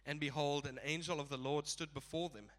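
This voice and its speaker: Male preacher with a head cold, nasal and bright, chatty with laughing asides. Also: a little high, steady tone, fairly quick. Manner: casual, chuckling asides. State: stuffy blocked nose. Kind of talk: sermon.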